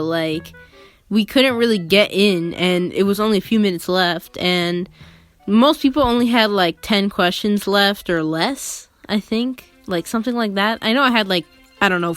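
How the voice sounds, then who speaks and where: Teenage girl, casual storytime chat, rambling and conversational, filler-heavy, mid-pitched, background music. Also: fairly bright tone. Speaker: video creator telling a personal story.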